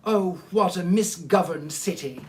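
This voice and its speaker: A man reading the part of a horrified, morally appalled gentleman doctor in a storybook. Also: high voice, unhurried, slight background noise.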